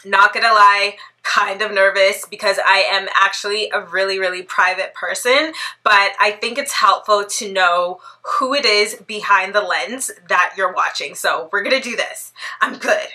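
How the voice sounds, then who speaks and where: A woman's voice: mid-range voice, moderate pace, few pauses, pushed and bright. A woman in her thirties from Toronto with a Canadian accent, chatting playfully to camera in a casual Q&A and laughing often. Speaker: vlogger. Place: bright living room indoors.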